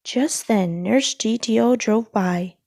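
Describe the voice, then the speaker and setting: A woman's voice: fairly high pitch, takes it slowly, creaky, a little mellow, dry recording, clean studio sound. A woman reading a children's storybook aloud as a scripted narration.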